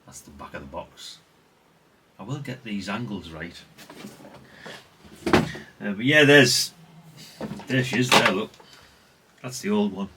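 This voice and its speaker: A man's voice: fairly low pitch, animated, takes it slowly, pauses often, background noise. A middle-aged British man talking casually in a rambling, chatty show-and-tell way, often correcting himself and adding tag questions. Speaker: hobbyist video host.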